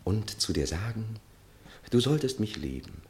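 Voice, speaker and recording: A man's voice: on the low side, sing-song, measured pace, a little mellow. A man acting in a 1960s German radio play as a tipsy, infatuated young journalist, with theatrical, ardent and sometimes stammering delivery. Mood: ardent, pleading.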